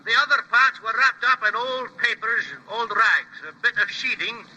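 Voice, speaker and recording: Very high, piercing police sergeant. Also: male voice, steady tone, normal speed, bright, forceful tone, exaggerated voice, rough recording. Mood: dutiful. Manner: dutiful, matter-of-fact reporting. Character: earnest, deferential police sergeant reporting by telephone. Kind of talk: old-time radio drama acting.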